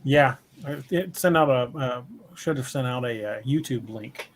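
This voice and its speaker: A man co-hosting a livestream in casual chat, with a slightly husky voice and a halting way of repeating words as he explains. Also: mid-range voice. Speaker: podcast livestream co-host.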